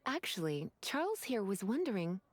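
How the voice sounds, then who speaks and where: An actress playing a languid, flirtatious woman in an anime-style video game, with a breathy voice and genteel, sultry manner. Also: mid-range voice, very animated, normal speed, soft background music. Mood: languid, coy. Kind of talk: English anime game voice acting.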